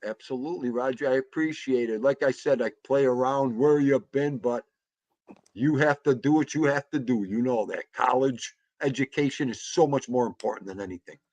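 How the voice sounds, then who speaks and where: A man's voice: mid-range voice, moderate pace, darkish tone, no echo. A man hosting a casual livestream chat, greeting viewers and laughing often. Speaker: livestream host.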